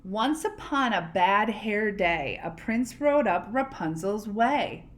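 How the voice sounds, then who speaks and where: A woman reading a picture book aloud expressively, pausing to explain words and ask questions. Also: mid-range voice, expressive. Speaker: read-aloud storyteller, teacher.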